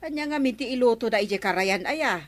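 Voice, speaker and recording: A woman's voice: on the high side, lively intonation, fast, dry recording, natural voice. A woman playing an obliging, questioning village neighbor in a comedy radio drama, with an Ilocano accent.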